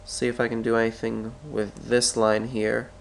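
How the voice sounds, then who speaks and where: Stuffy, gravelly man talking through charts. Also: mid-range voice, completely flat delivery, a little hiss or hum, poor audio. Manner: thinking aloud, halting, self-correcting. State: stuffy with a cold, clearing his throat. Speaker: stock market analyst. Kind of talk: screen-recorded chart tutorial.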